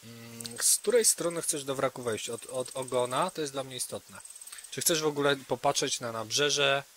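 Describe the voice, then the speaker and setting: A man's voice: medium pitch, moderate pace, a bit bright, slight background noise. A man narrating an improvised tabletop game as game master, speaking to players directly in a conversational way.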